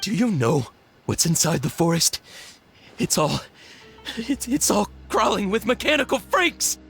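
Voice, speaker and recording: Jittery, panicky man, swooping pitch. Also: sing-song, measured pace, gravelly, fairly bright tone, husky, full character voice. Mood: panicked. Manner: stammering, agitated. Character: panicky, fearful male herb gatherer, jittery and distrustful. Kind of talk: video game voice acting. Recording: game music behind.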